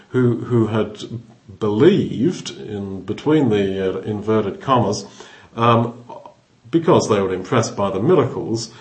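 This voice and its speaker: Guy teaching bible verses, mid pitch, thinks aloud, restarts a lot. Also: darkish tone. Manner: thoughtful, restarts phrases, explains word by word.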